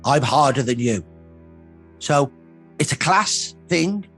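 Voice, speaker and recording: A man's voice: fairly high pitch, expressive, takes it slowly, fairly bright tone, soft background music. A middle-aged or older man from Birmingham, England, speaking as a historian in a documentary interview, in emphatic, punchy, repeated phrases.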